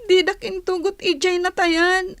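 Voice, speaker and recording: A very high, small girlish voice acted by a woman, recorded close and dry. She is a homesick village schoolgirl in a radio drama, speaking in a plaintive, pleading way. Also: little pitch movement, a little slow, fairly bright tone, dry recording, full character voice. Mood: earnest. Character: wistful homesick young granddaughter, a village schoolgirl. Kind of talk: Ilocano radio drama acting.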